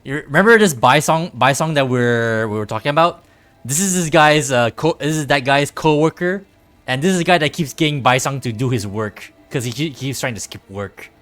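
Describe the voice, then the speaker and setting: A man's voice: mid-range voice, expressive, moderate pace, a bit bright. A man livestreaming a video game, chatting casually and easygoing with viewers as he plays. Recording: music playing behind the voice. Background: game music.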